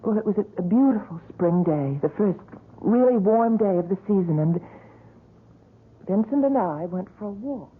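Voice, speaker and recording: A woman's voice: medium pitch, expressive, moderate pace, breathy edge. An actress playing an earnest young woman in love in a 1970s radio drama, speaking in a pleading way. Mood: recalling. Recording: tinny 1970s radio broadcast.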